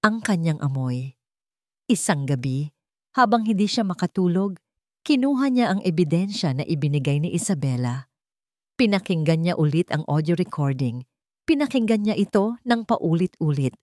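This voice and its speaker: Lower-voiced Filipino woman, dramatic story narration. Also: a little mellow, dry recording, clean studio sound.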